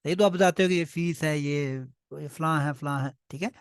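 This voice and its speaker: A man's voice: fairly high pitch, normal speed, clear, resonant tone, dry recording, studio quality. A man whose first language is Punjabi, teaching German to beginners in a patient way and checking often that the class follows. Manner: patient, repeats "ठीक है" often. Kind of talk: online class teaching in Hindi-Urdu.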